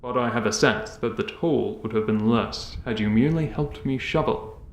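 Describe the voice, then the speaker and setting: A man's voice: mid-range voice, normal speed, lightly acted. An actor playing a courteous, weary male warrior in an audio drama, speaking in formal, archaic phrases. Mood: mildly reproving. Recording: roomy echo on the voice.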